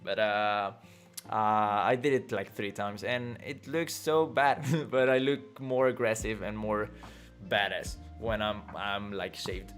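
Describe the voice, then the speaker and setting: A man's voice: on the low side, fairly even intonation, takes it slowly, a bit bright, soft background music. Rambling young man chatting on stream. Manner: rambling and loose, laughs often, swears casually. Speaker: livestream host reading chat. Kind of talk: casual chat.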